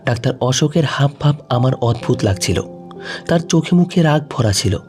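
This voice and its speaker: A man's voice: mid-range voice, animated, moderate pace. A man reading a scripted emotional story in the first person as a dramatic narrator. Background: faint music.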